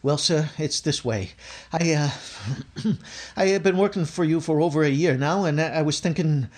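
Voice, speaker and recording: A man's voice: on the high side, moderate pace, a little mellow, natural voice. A man playing a nervous, bumbling young working-class clerk in a recreated 1950s radio sitcom, stammering and hesitant. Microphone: video call microphone.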